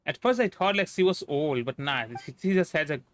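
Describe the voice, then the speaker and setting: A man's voice: a little high, lively intonation, fairly quick, a bit bright, slight background noise. A man chatting casually as he streams a game. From India.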